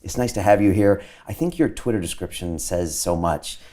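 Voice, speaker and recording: A man hosting an interview show, reading a steady scripted introduction of his guest and then asking questions with frequent filler sounds. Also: deep voice, moderate pace. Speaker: interview host.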